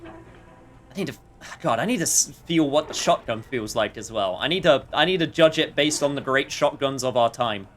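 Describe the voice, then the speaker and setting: A man's voice: medium pitch, expressive, normal speed, fairly bright tone, soft background music. A man doing casual let's play commentary, thinking aloud and reacting wryly.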